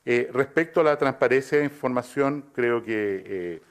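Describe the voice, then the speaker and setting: A man, a government official, answering a question at a press conference in a formal, halting way. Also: mid-range voice.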